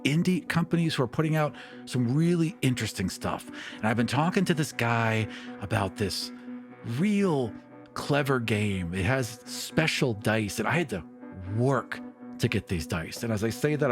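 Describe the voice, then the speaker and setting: A man running a tabletop roleplaying game, improvising narration and dialogue in an informal, conversational way. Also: medium pitch. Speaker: game master.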